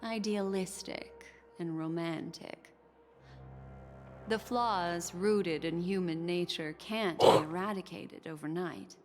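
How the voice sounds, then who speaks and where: An actress playing a refined, skeptical and enigmatic woman in a video game, with cool, faintly amused poise. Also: lowish voice. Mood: cool, measured. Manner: unhurried, refined, coolly amused. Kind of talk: scripted video game acting. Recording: game audio over loud music.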